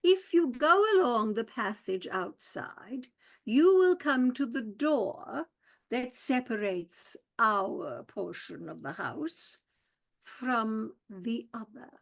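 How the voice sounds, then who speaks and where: A woman playing a stately, fussy old male butler who speaks slowly and formally. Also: a little high, lively intonation, creaky, exaggerated voice. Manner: slow, formal and ponderous. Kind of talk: radio play acting.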